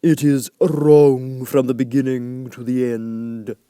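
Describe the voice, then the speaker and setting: A man voicing a stern, sleepy storybook caterpillar who speaks very slowly and curtly, drawing out the words with disdain. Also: medium pitch, flat delivery, dark tone, smooth, no echo, clean studio sound, voice pushed up, lightly acted. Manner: very slow, drawn-out, curt delivery. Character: languid, sleepy, stern, contemptuous hookah-smoking caterpillar.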